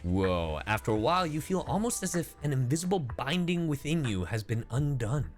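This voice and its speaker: Male streamer: very animated, mid-pitched, loose and playful, faint game music behind. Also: moderate pace. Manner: playful, profane, hums to himself. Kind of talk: casual livestream commentary, reading game text aloud.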